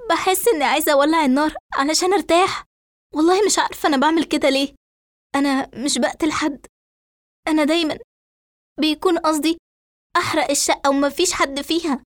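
Woman acting a distraught young Egyptian woman, high-pitched. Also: steady tone, fast talker, long pauses, natural voice. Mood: tearful, distressed. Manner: pleading, agitated. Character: distraught, trembling young woman arsonist under interrogation.

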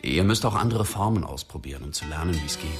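An actor playing an earnest, measured male English teacher who advises his pupils in a radio drama. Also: low-pitched, lively intonation. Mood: encouraging. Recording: music behind the voice.

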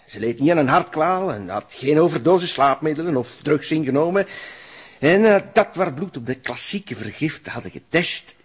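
Jovial flemish lab eccentric, rambly and theatrical, husky voice, kinda muffled recording. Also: male voice, a little high, lively intonation, moderate pace. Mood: pedantic, excited. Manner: theatrical, rambling, repeats his words, pedantic. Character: eccentric, jovial male forensic expert, savouring a murder case. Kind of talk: audio drama acting.

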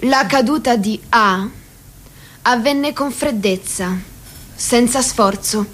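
A woman with a high-pitched voice narrates a scripted radio drama. She tells the scene as its narrator in a dramatic theatrical reading. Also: slow pace, fairly bright tone. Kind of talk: scripted dramatic narration, also voicing characters' lines.

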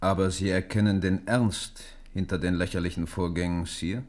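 A man's voice: deep voice, fairly even intonation, moderate pace, darkish tone, lightly acted. An actor playing a stern, hard-headed minister to a king in a German radio play, formal, blunt and insistent. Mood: urgent, warning.

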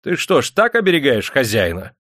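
A man's voice: mid-range voice, wildly swooping pitch, brisk, a bit bright, no room sound, studio quality, voice pushed up. A man voicing a domineering, gleefully cruel eighteenth-century factory owner in an audiobook, bellowing and crowing his exclamations. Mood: angry, commanding. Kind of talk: dramatised audiobook character voice.

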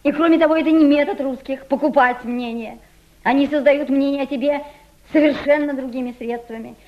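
An actress playing a weary, wistful, quietly principled Russian émigré woman in a radio play, with measured, deliberate delivery. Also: high voice, steady tone, close to an everyday voice. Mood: firm, composed. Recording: dull, hissy old radio drama recording.

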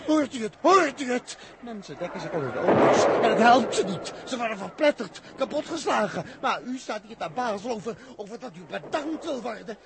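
A man's voice: high voice, gravelly, heavily acted. An actor playing an agitated, indignant male eyewitness in a 1960s radio drama, speaking urgently and emotionally. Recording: noisy old radio drama recording.

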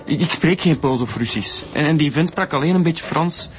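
An actor playing a defensive ex-convict suspect in a Flemish radio drama, pleading haltingly and repeating his words. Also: a little high, lively intonation, close to an everyday voice. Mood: evasive. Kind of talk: naturalistic radio drama acting.

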